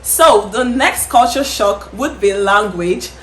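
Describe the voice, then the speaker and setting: A woman's voice: mid-range voice, lively intonation, takes it slowly, a bit bright, reverberant, a little hiss or hum. A woman from Nigeria with a Nigerian accent, hosting a casual chat and speaking with many fillers and frequent laughter. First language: Yoruba. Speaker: host.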